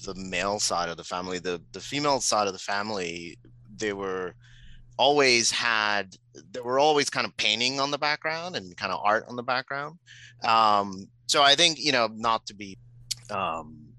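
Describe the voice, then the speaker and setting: Middle aged guy from puerto rico, super bright but lowish voice, thinks out loud in halting bits, casual interview. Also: lively intonation, no room sound, low-quality recording. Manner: hesitant, reflective, halting phrasing. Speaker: interview guest, architect.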